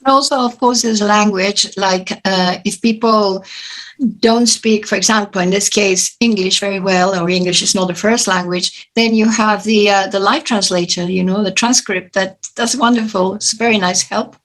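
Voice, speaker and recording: A woman hosts a relaxed chat over a video call, her voice in a middle register. She asks her questions with some hesitation and fillers, searching for words as she goes. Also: mid-range voice, moderate pace, poor audio. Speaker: interview host.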